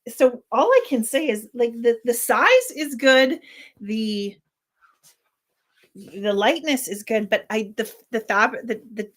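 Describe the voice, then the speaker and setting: A woman hosting her own channel, giving a casual unboxing review. She thinks aloud, often restarting sentences, and her voice is a little squeaky today. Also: expressive, slow pace, a bit bright. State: slightly squeaky voice. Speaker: worship flag maker and channel host.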